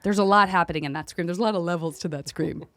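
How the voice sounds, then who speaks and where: A woman's voice: on the low side, fast, no room sound, clean studio sound. Playful woman hosting a casual podcast. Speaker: podcast host. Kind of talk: casual panel chat.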